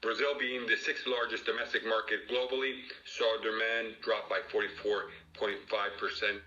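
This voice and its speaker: Male executive for a formal media briefing; low-pitched yet very sharp-toned, measured and halting, reading from prepared notes. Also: level tone. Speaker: airline industry executive giving a press briefing. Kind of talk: formal prepared presentation read aloud. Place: virtual press conference call. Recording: dull, roomy conference call audio.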